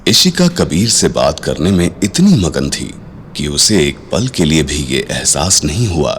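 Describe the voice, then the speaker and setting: Male narrator, audio drama. Very deep, animated, suspenseful delivery over soft music. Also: unhurried, a little hiss or hum. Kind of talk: dramatic scripted story narration. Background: background music.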